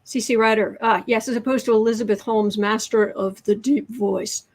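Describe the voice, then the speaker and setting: A woman with a fairly high voice hosts a casual tarot livestream, flipping through cards and answering her chat. She talks in a chatty, sarcastic way, restarts her sentences and stops now and then to clear her throat. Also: fairly even intonation, normal speed, darkish tone. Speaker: host of a political tarot livestream.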